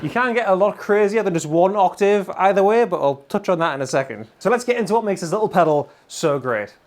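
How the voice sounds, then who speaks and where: High-pitched man presenting guitar gear. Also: lively intonation, fast talker. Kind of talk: enthusiastic product demo presentation.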